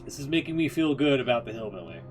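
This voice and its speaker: A male streamer talks casually in a mid-range voice, thinking aloud as he reacts to a game. His commentary is informal and loose, with clearly audible music playing behind him. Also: mid-range voice, sing-song, fast talker.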